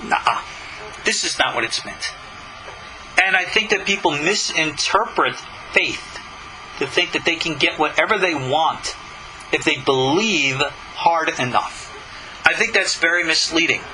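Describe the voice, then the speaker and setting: Bright, high-pitched man teaching as a rabbi. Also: measured pace, a little hiss or hum, poor audio. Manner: emphatic, argumentative, with rhetorical questions. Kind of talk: religious lecture with scripture reading and commentary.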